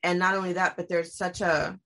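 A woman hosting a podcast chats with her guest in a casual, gushing way, throwing in slang and lots of fillers. Her voice sits slightly low for a woman. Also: flat delivery, moderate pace, vocal fry, a bit bright. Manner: enthusiastic, slangy praise with many fillers. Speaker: podcast host. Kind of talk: casual interview chat.

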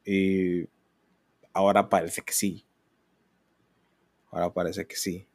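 A Mexican man in his thirties speaks alone into a podcast mic in a fairly low voice. He talks candidly and rambles. Now and then he pitches up to mock other people. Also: little pitch movement, takes it slowly. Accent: Mexican Spanish. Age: 30s. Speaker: podcast host. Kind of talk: solo podcast monologue.

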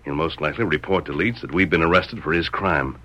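An actor playing a calm, noble masked cowboy hero with measured, formal speech in a radio Western. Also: male voice, a bass voice, muffled recording. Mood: calm, explaining. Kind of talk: old-time radio Western acting.

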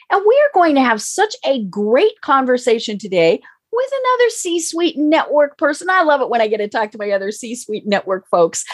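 A woman's voice: on the high side, husky. A woman hosting a business interview show, bubbly and gushing, with frequent fillers. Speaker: host.

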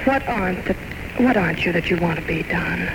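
A woman's voice: fairly low pitch, a little fast, natural voice. An actress playing a devoted, stubborn girlfriend, earnest and reassuring, with clipped, insistent lines in vintage radio drama style. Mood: concerned. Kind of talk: 1940s-1950s radio drama acting. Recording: noisy old radio broadcast recording.

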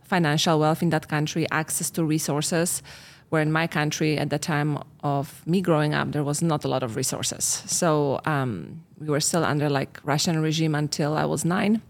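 A woman in her forties from Poland, speaking with a Polish accent in a low voice. She talks earnestly and reflectively, her phrasing slightly non-native, as a relationship coach in a relaxed podcast chat. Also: moderate pace, hardly any pauses. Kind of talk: casual podcast conversation.

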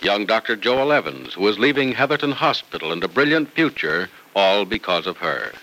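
A man's voice: lowish voice, very animated, normal speed, bright, forceful tone, poor audio. A man announcing in an emphatic, polished old-time radio sales and narration style. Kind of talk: 1940s-50s radio announcing, sales pitch.

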